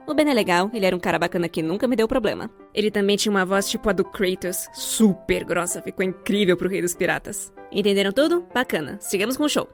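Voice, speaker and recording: A young adult woman with a Brazilian accent giving scripted, comedic storytime narration in a theatrical, wry way. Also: medium pitch. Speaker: storyteller, fan-dub voice actor.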